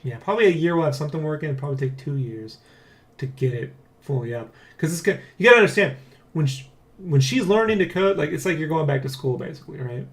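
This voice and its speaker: Male vlog host, slightly high voice, rambling and excitable, talks straight to viewers, loose and sweary. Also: quick pace. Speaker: host of a development blog. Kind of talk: casual vlog chat, addressing viewers.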